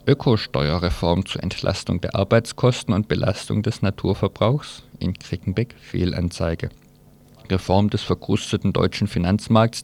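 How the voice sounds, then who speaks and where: Very deep, gravelly-voiced man presenting radio. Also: a little mellow. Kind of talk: informal press review, reading newspapers aloud with own commentary. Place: radio studio.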